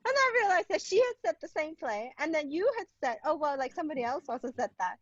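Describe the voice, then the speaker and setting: A woman's voice: high voice, sing-song, fast talker, no room sound, lo-fi sound. A woman from Hong Kong chatting casually as an interview guest, her talk full of "like" and "you know". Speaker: interview guest, playwright and translator. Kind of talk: casual conversation.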